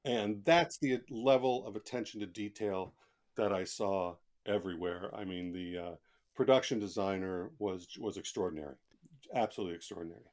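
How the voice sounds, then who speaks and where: A man's voice: low voice, normal speed, a little mellow. A man speaking as an author guest on a podcast, telling stories in a casual, colloquial and emphatic way. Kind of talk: casual podcast interview, anecdotal storytelling.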